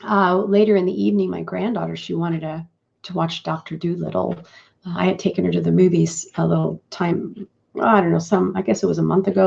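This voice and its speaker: A middle-aged woman hosting a live business broadcast, talking in a chatty, rambling way with a croaky morning voice. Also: mid-range voice, a little mellow. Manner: chatty and rambling, with fillers and restarts. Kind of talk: casual live-stream talk. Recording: dull lo-fi stream audio.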